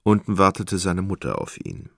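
Very deep, creaky male narrator. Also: huge pitch swings, normal speed, fairly bright tone, dry recording, studio quality. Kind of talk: literary scripted narration in a radio play.

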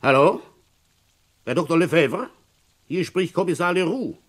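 An actor playing a gruff, world-weary middle-aged village police commissioner in a German radio crime play, talking in a grumbling, conversational way. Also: male voice, on the high side, measured pace. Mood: brisk, loud. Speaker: narrator and lead character.

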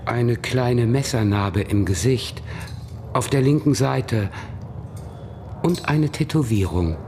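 A man's voice: mid-range voice, lively intonation, takes it slowly, background noise. An actor playing a coy, evasive male witness under police questioning in a German radio crime drama, by turns dreamy and defensive.

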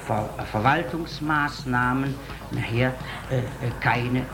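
Stammering male official with a creaky voice. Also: mid-range voice, slow pace, background noise. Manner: stammering, repeats words, bureaucratic. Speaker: statistics office official being interviewed. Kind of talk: halting interview answer.

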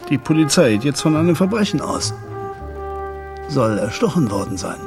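A menacing loan shark in a radio play, with music behind him. His voice is a little high and moves expressively. He is familiar, patronising and probing, with a casual threat underneath. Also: big pitch swings, normal speed. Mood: quietly menacing. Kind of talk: radio drama acting.

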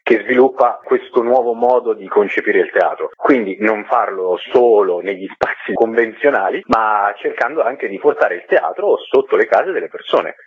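Low-voiced man on tinny phone. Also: moderate pace, hardly any pauses, breathy edge, dry recording. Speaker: stage actor, radio interview guest. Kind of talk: conversational interview answers. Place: phone call into a radio programme. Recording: tinny, narrow-band telephone line.

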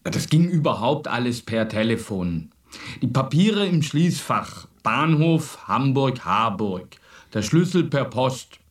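A cocky, slow-talking suspect. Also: male voice, mid-range voice, big pitch swings, recorded from a distance. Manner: crude, cocky, slangy. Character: cocky crude male suspect. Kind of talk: radio drama acting.

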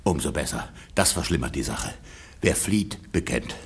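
An actor playing a jealous, blustering rich peasant husband in a radio play, sarcastic and mock-polite in theatrical style. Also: male voice, a bass voice, animated, normal speed, slight background noise. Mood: smug.

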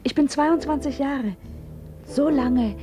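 A woman's voice: sits high, slow. An actress playing a tender, wistful, lovestruck woman in her early twenties in a 1950s German radio play. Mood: blissful. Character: dreamy lovestruck young woman of twenty-two. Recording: 1950s radio recording with guitar music. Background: plucked guitar music.

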